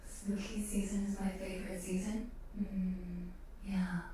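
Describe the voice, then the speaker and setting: A woman chatting casually in a soft, breathy voice that often drops to a whisper, in a gentle ASMR livestream style with hesitant musing. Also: mid-range voice, little pitch movement, measured pace, a little hiss or hum, rough recording. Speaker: ASMR live streamer. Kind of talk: soft ASMR chat with viewers, much of it whispered.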